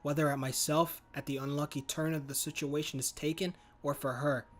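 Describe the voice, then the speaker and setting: Man, gaming host, reading visual novel narration, even flat delivery, self-correcting. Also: on the high side, fairly quick, faint music behind. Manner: plain read-aloud, correcting his own slips. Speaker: gaming YouTuber.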